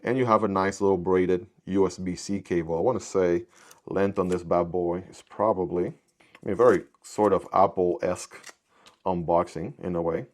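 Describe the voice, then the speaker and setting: A man with a fairly low voice whose first language is Spanish, chatting through a gadget unboxing. He keeps it relaxed and jokey, tossing in little sound-effect words as he shows things off. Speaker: product reviewer. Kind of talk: casual unboxing review.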